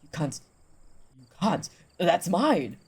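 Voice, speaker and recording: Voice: medium pitch, very animated, slow, gravelly, breathy edge, exaggerated voice. A streamer voicing a defeated, seething male sea god in a visual novel, petulant and protesting. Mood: petulant, desperate.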